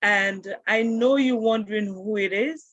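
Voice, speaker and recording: A woman's voice: mid-range voice, unhurried, fairly bright tone, dry recording. A woman hosting an online interview and greeting her guest and audience over a video call. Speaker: interview host. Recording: dull dry video-call audio.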